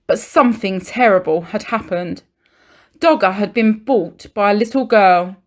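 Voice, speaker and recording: A woman reading a children's storybook aloud like a primary teacher, expressively, with deliberate pauses and emphasis for young listeners. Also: medium pitch.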